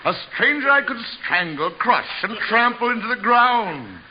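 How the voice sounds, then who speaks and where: An actor playing a vain, hot-tempered old theater star in a radio comedy, grandiose and declamatory. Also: male voice, extremely high pitch, big pitch swings, normal speed. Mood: outraged. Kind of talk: old-time radio situation comedy acting. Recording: muffled, hissy old-time radio recording.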